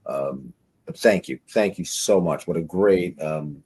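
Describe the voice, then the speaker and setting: A man in his forties hosting an interview, talking in a rambling, halting way with many fillers. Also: sits low, darkish tone, slightly rough. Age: 40s. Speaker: host. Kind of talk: podcast interview.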